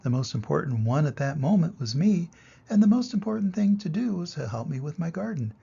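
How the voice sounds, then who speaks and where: A wise old turtle from a storybook, voiced by a man reading aloud. He explains things patiently in a husky, creaky voice. The sound is slightly muffled. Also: on the high side, sing-song, fairly quick, mellow, full character voice.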